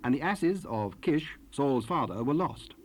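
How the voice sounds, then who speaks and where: A man narrating scripture from a script in a formal, measured way. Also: medium pitch, lively intonation. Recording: hissy 1970s cassette rip.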